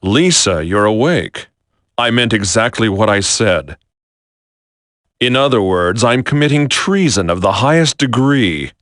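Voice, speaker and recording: Slow, solemn renegade commander. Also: male voice, on the low side, expressive, a bit bright, no room sound, clean studio sound. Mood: cold resolve. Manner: measured, solemn, brooding. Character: grim male renegade commander, resolved and sorrowful. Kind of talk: video game voice acting.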